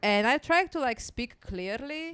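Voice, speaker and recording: A young woman speaks English with a clear Bulgarian accent, her voice smooth and resonant. She chats casually on a podcast and laughs often at her own stories. The studio sound is close and dry. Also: fairly high pitch, brisk, no echo. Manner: laughing often, self-deprecating and animated. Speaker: stand-up comedian guest.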